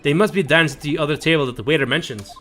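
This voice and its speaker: Higher-voiced man reading game dialogue. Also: racing, a bit bright, soft background music. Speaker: game streamer. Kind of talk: reading visual novel dialogue aloud.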